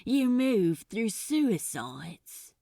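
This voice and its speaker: A woman voicing a guarded male demon in a story, flat and strained, slightly raw. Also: on the high side, drawn-out words, gravelly, no room sound, clean studio sound, full character voice. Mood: stunned, flat.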